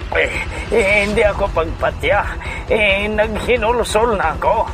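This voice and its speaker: A man acts out a cowardly gang boss in a radio action drama. His voice is high and slow-paced, full of fearful stammering, with music clearly playing underneath. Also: low-quality recording. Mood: terrified pleading. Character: frightened male crime boss, stammering and pleading.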